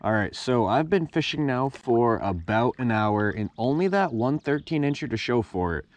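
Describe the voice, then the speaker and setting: A man's voice: mid-range voice, animated, moderate pace. A young man narrating his own fishing trip from a kayak on a lake, talking casually to himself. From New Hampshire. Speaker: fishing vlogger. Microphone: head-mounted action camera.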